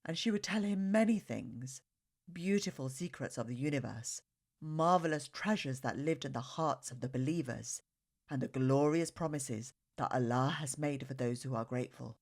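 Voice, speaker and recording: A woman reading a children's story aloud with expressive, dramatic phrasing. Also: a bass voice, moderate pace.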